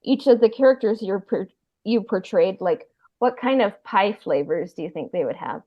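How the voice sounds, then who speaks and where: A woman hosting a casual podcast chat, talking haltingly and often trailing off. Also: mid-range voice, lively intonation, dry recording, highs cut off. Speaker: podcast host.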